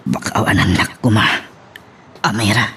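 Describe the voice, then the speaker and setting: A man playing a guilty, pleading unfaithful husband with an Ilocano accent, halting and apologetic, in love drama acting. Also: fairly low pitch, sharp tone, a little hiss or hum. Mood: evasive, nervous.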